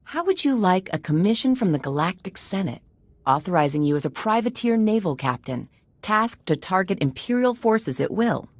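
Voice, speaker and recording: An actress playing a shrewd, commanding senator with a formal, authoritative manner in a video game. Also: female voice, medium pitch, very animated, normal speed, no room sound. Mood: formal, official.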